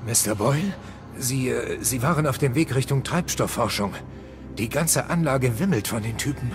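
An actor playing a shaken, helpful security guard, earnest and a little hesitant. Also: male voice, medium pitch, lively intonation, moderate pace, natural voice. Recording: music behind the voice.

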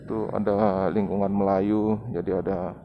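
A man's voice: deep voice, flat delivery, normal speed. A man narrating a casual vlog as he rides, giving unscripted running commentary in short phrases, recorded outdoors on a camera mic. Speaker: motovlogger. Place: outdoors on a motorbike in town streets. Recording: muffled lo-fi action camera, street noise.

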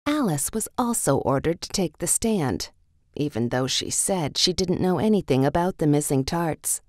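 A woman's voice: sits low, lively intonation, normal speed, darkish tone, no echo, studio quality. A woman narrating a children's read-along storybook in a scripted, story-reading style.

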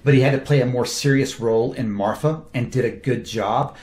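A man's voice: mid-range voice, fairly quick. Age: adult. Sex: man. Role: film director. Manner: enthusiastic storyteller. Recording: roomy echo. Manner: enthusiastic, animated storytelling with quoted asides. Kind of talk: casual interview talk.

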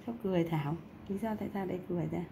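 A woman's voice: fairly low pitch, rapid-fire, creaky, mellow, slight background noise. A woman chatting casually and explaining cases while she works in a skin clinic treatment room. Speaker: acne extraction practitioner. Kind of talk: casual chat while working.

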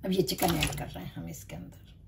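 A woman's voice: deep voice, big pitch swings, fairly quick. A middle-aged woman giving a casual cooking demonstration in short, simple instructional phrases. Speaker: home cook presenting recipes. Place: home kitchen, indoors. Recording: distant mic, kitchen clatter and noise.

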